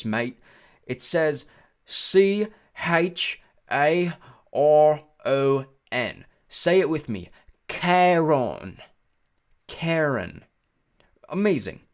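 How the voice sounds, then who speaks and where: A man's voice: on the high side, very animated, extremely slow, pitched above the performer's own voice, exaggerated voice. A man voicing a tall, elegant, coldly greedy ferryman of the dead in an audiobook, with a British accent and a condescending, mock-sweet manner.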